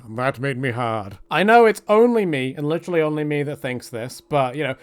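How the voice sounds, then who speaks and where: A man giving casual, joking commentary on video games in a rambling, self-deprecating, tongue-in-cheek way. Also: sits high. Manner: swears casually.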